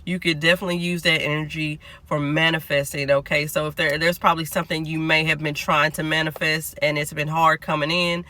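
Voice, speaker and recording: A man's voice: on the high side, level tone, brisk, few pauses, pushed and bright. A man giving a collective tarot reading, sounding nasal as he gets over a cold, chatting and checking in with listeners. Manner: chatty, keeps checking in with "okay?". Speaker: tarot reader.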